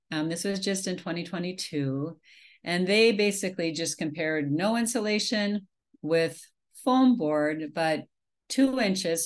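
A woman from Minnesota giving a slide presentation on a video call, talking casually with many fillers and restarts. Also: lowish voice. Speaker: beekeeping presenter. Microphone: webcam on a video call.

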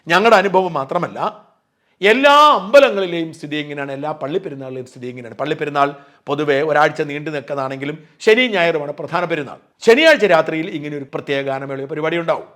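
A middle-aged man from Kerala talks quickly and pointedly as the host of a news channel. His voice sits a little high for a man, and he argues his case with emphasis. He backs his points with his own experience. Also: a bit bright. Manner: emphatic, argumentative, drawing on personal experience. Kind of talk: opinion commentary.

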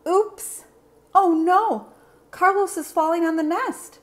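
Woman voicing an excitable high-pitched storybook schoolkid. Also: slow. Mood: alarmed. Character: excitable schoolchildren on a field trip, alarmed and eager.

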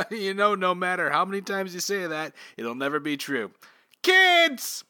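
A scandalized sitcom father played by an actor scolds with melodrama in a radio comedy. The voice is bright, swooping and dryly recorded. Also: mid-range voice, sing-song, unhurried, dry recording, studio quality. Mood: stern. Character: stern, scandalized sitcom dad. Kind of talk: comic radio play acting.

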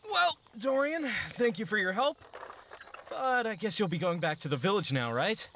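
An actor playing an earnest, haunted young would-be mercenary in an audio drama, with hesitant, emotional delivery. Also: male voice, high-pitched, expressive, normal speed, a bit bright, slight background noise. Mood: flustered, apologetic.

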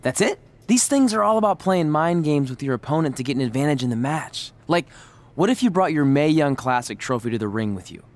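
An actor playing an eager, self-assured young wrestler in a video game cutscene, upbeat and a little self-absorbed. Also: male voice, on the high side, very animated, brisk. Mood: eager, confident.

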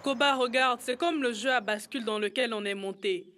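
A woman's voice: on the high side, brisk, fairly bright tone, lightly acted. A woman voicing an earnest, curious little boy in a children's cartoon, asking things politely. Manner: inquisitive, polite.

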